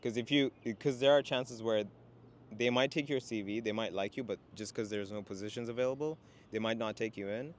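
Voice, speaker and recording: A man's voice: medium pitch, a little fast, a little hiss or hum. A young man from Sri Lanka, raised in Qatar, talking casually as a student guest about finding work, with lots of "you know". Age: young adult. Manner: relaxed, many fillers like "you know". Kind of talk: casual conversation. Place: outdoors in a city, traffic nearby.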